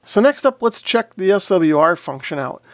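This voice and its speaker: A man's voice: sits high, very animated, normal speed, dry recording. A man hosting a casual, methodical product demo, explaining things step by step. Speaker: host of a radio review and demo video. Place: indoor radio shack.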